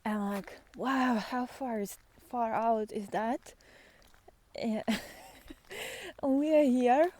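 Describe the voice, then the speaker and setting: A woman speaking off the cuff and reflectively on a walk outdoors, musing and halting, often trailing into breathy near-whispers. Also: fairly high pitch, a little mellow. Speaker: spiritual vlogger and guide. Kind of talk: unscripted reflective talk while walking in nature.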